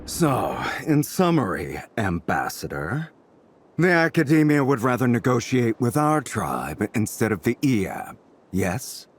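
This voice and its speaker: An actor playing a shrewd, ruthless male desert tribe chief in a video game, deliberate and sardonic. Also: mid-range voice, big pitch swings. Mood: wary, shrewd.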